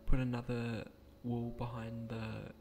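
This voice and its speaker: A young man with a croaky voice, speaking quietly at night in a hesitant, rambling way with frequent restarts as he narrates a game build. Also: medium pitch, monotone, unhurried, faint music behind. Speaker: gaming channel creator.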